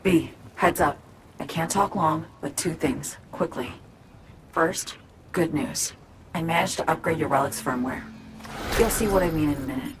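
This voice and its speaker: A woman's voice: low-pitched, normal speed, background noise, natural voice. A woman playing a secretive, intense hacker in a video game, speaking in short, confiding, guarded phrases. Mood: hurried, confiding. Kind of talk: cinematic game acting, confiding dialogue. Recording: music behind the voice.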